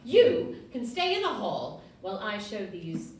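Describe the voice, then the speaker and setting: A woman acting a fussy, firm Victorian London landlady in a stage audio play, with an emphatic, brisk manner. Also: medium pitch, huge pitch swings. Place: live performance in a club room. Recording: echoey room, distant mic, faint noise.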